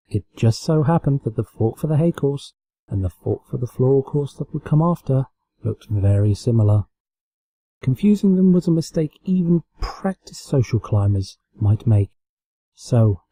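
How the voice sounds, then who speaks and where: A British man reads fiction aloud in a very dark, mellow voice. The tone is husky and soft-edged, in an ordinary male register, with the delivery of an amateur storyteller working from a script. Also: medium pitch, no room sound. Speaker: amateur fan fiction reader.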